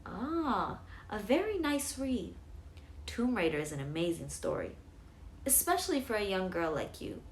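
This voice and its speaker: A woman's voice: mid-range voice, wildly swooping pitch, normal speed, a little hiss or hum. A woman voicing a kindly, polite middle-aged male library clerk in a storybook. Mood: pleased, encouraging. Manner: polite, friendly.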